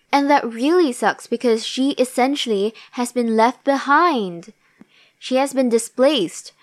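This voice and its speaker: A woman with a somewhat high, slightly raspy voice talks through a drama episode in a relaxed, reflective way. She sounds tired, as if it is late at night. The recording is close and dry, with no room sound. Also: no echo. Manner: thoughtful, musing. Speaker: podcast host. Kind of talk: casual drama recap.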